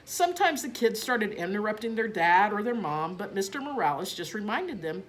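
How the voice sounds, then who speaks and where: A woman reading a children's story aloud as a teacher, in an expressive narrating style. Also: mid-range voice, moderate pace.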